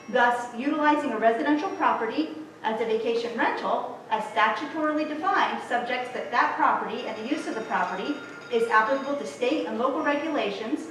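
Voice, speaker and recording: A woman council member reading a prepared statement in a formal public meeting, firm and measured. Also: on the high side, a bit bright, distant mic, a little hiss or hum. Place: city council chamber. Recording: echoey council chamber, off-mic.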